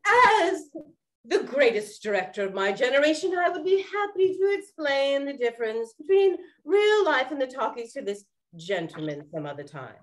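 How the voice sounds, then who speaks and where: A woman's voice: high-pitched, big pitch swings, moderate pace, poor audio. A woman playing a grandiose, temperamental 1920s Hollywood film director in an amateur stage play, speaking in a pompous, theatrical way. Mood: haughty.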